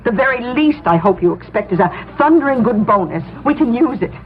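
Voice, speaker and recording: A woman's voice: on the high side, lively intonation, a little fast, lightly acted. An actress playing a weary, fussing suburban wife and mother, complaining and probing about money in a 1970s radio drama. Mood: fretful, complaining. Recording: tinny, slightly noisy 1970s radio.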